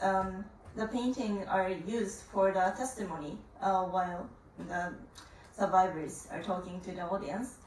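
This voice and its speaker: Young woman, slow halting panel answer; distant, roomy conference-hall sound. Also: mid-range voice, darkish tone, a little hiss or hum. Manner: halting, searching for words, many fillers. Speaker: youth panelist. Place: conference room. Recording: echoey conference room from far off.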